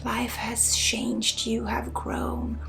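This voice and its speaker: A woman with a Swedish accent guides an ASMR energy healing at a very unhurried pace. Her soft voice sits fairly high and often drops to a whisper with a crackly edge. Faint music plays behind her. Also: completely flat delivery, creaky. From Sweden. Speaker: spiritual healer and ASMR host.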